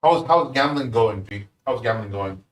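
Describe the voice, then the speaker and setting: A man's voice: medium pitch, lively intonation, moderate pace. A man with an Indian accent, casually chatting with viewers while cooking on a live stream. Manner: easygoing, chats with viewers while working. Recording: roomy sound, mic at a distance.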